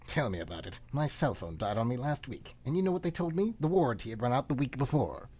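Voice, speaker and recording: A man plays the Devil as a sardonic businessman in a comic radio play. The voice is husky and mid-pitched, swinging widely up and down as he grumbles, wheedles and makes sarcastic digs. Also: sing-song, quick pace, darkish tone. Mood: aggrieved. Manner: sarcastic, exclamatory. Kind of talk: comedic radio play acting.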